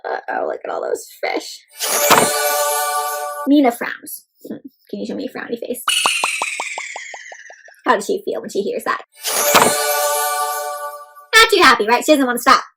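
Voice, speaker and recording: A high-pitched woman storyteller reads a picture book for young children. She is expressive, often turning to her listeners with questions and punctuating the tale with exclamations and playful sound effects. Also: a little slow, vocal fry, long pauses, loud music behind the voice, low-quality recording. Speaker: children's read-aloud storyteller. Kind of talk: interactive picture-book reading for kids.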